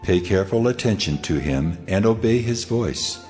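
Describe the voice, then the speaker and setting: A man playing God as a solemn, commanding lawgiver in a dramatized audio Bible, speaking in measured, authoritative pronouncements. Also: low voice, lively intonation, a little mellow. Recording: music under the voice.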